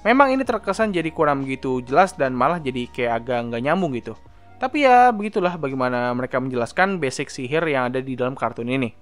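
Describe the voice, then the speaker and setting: A man's voice: medium pitch, animated, fast talker. A young man, narrating a scripted explainer as a video host with informal, chatty asides. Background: background music.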